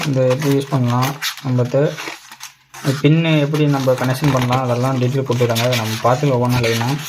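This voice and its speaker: There is noticeable background noise with some clicking in this recording. A man with a Tamil Nadu Tamil accent speaks at a medium pitch. He presents like a casual tech reviewer, walking through things step by step and often repeating his colloquial phrases. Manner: colloquial, repetitive, step-by-step explaining. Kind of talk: casual product unboxing and demo.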